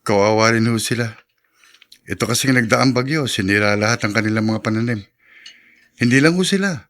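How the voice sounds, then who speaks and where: An actor playing a kind, respectful young grandson in a radio drama, politely and gently reasoning. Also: male voice, mid-range voice, brisk, natural voice. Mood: sympathetic.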